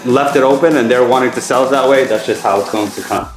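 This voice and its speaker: A man's voice: mid-range voice, quick pace, roomy sound, faint music behind. A man from Afghanistan giving a casual vlog tour of a house, talking in an emphatic, rambling way with lots of restarts and some swearing. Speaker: mortgage broker giving a home tour. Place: empty furnished house indoors.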